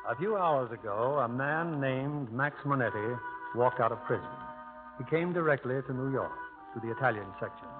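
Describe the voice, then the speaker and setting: A man hosting a 1950s radio drama, giving scripted narration in a formal, measured, theatrical manner. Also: mid-range voice, over loud music. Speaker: producer and host. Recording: old tinny radio broadcast.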